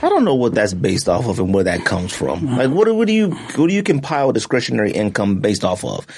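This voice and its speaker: A man in his thirties co-hosting a casual podcast. He argues his point in an animated way, full of slang and quick asides. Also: mid-range voice, breathy edge. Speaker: podcast co-host. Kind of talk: casual chat.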